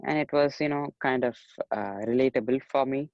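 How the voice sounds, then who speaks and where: A man's voice: mid-range voice, fairly quick. A polite, earnest young man from Chennai, still in his teens, talking through a muffled, dry recording with no room echo. He keeps dropping in "you know" as he recounts his story. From Chennai, India. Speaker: young actor and musician, interview guest. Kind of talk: casual interview.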